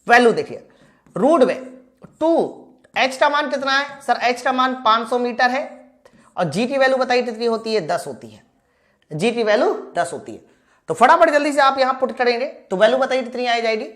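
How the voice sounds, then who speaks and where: A woman's voice: on the high side, expressive, normal speed, fairly bright tone. A woman teaching physics in an online class, informally addressing students, asking questions and answering them herself. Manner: asks questions and answers them herself, repeats key lines. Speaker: physics teacher for exam preparation. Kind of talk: live online class, explaining problems step by step.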